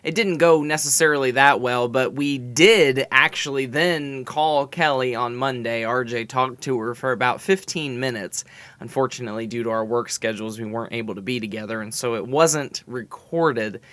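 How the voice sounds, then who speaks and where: A man's voice: mid-range voice, normal speed, a bit bright. A male podcast co-host in casual chat, laid-back and laughing easily.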